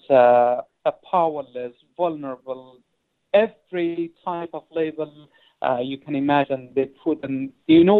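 Earnest man, gravelly voice, phone line. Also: on the high side. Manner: earnest, halting, many fillers and restarts. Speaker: poet and interview guest. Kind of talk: interview conversation.

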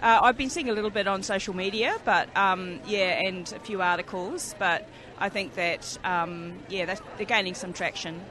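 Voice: medium pitch, moderate pace, pushed and bright. An union member answering street interview questions, earnest and hesitant, with many fillers. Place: outdoors at a crowded rally. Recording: crowd noise behind.

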